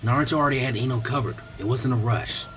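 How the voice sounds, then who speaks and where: A man voicing a laconic, weary, clever teenage boy ninja with a flat, drawling delivery, in a story reading. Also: medium pitch, fast talker, faint music behind, voice pushed down, close to an everyday voice. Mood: deadpan.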